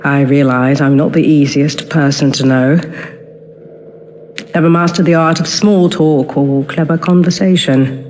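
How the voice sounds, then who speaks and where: Man playing a high-voiced, brooding outsider, heavy reverb. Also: fairly even intonation, a little slow, a little mellow, close to an everyday voice. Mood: bitter, brooding. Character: brooding, wounded outsider telling his inner thoughts. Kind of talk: reflective dramatic monologue in a video game. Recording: long cavernous reverb, faint ambient noise.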